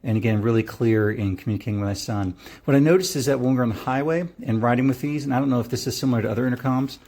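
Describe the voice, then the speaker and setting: Casual reviewer, middle-aged man. Soft-edged mellow tone, mid-pitched, easygoing and a bit rambling in his explanations. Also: racing. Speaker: product reviewer.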